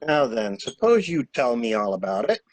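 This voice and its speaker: A man's voice: mid-range voice, sing-song, normal speed, no echo. A man playing a talkative, flustered stranded engineer with a polite, stammering, theatrical manner in a murder mystery reading. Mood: calmly inquisitive. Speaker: actor in an amateur library reading.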